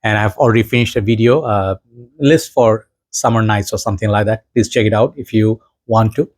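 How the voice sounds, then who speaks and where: A man's voice: fairly low pitch, darkish tone. Bangladeshi-accented man chatting casually. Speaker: fragrance reviewer and seller. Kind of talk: casual vlog chat to viewers.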